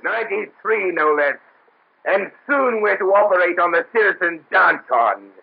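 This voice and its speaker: A gloating, gravelly executioner. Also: male voice, high-pitched, expressive, moderate pace, exaggerated voice. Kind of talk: 1930s radio drama acting. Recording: tinny old radio recording.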